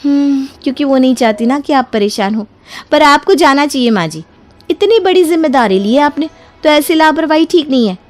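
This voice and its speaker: A woman's voice: sits high, lively intonation, fairly quick, pitched above the performer's own voice. A woman voicing a clever young daughter-in-law in a cartoon moral story, sweetly persuasive and emphatic. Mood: coaxing. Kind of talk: animated Hindi moral story dialogue. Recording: music behind the voice.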